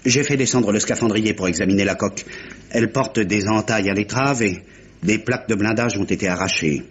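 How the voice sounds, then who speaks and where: An actor playing a laconic, dutiful police inspector in an old French radio mystery, speaking in deliberate, clipped phrases. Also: male voice, lowish voice, lightly acted. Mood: dogged, literal. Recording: muffled, lightly hissy old radio recording.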